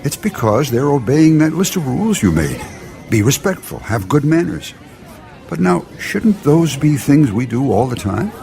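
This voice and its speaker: An actor playing a kindly, wise shop owner who talks in a gentle, reasoning way, in a family radio drama. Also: male voice, on the high side, very animated, normal speed, vocal fry, slight background noise.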